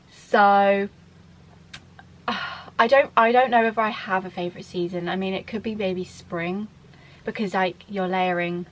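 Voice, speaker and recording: A woman's voice: medium pitch, fairly bright tone, low-quality recording. A woman in her twenties from the UK, chatting casually to camera in a Q&A vlog, rambling with lots of fillers and restarts. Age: 20s. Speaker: vlogger.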